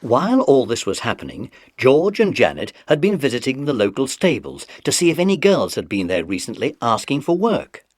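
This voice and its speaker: A male narrator tells a children's adventure story with huge variation in pitch. His mid-range voice is slightly rough and husky, recorded dry. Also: wildly swooping pitch, normal speed, hardly any pauses, no echo.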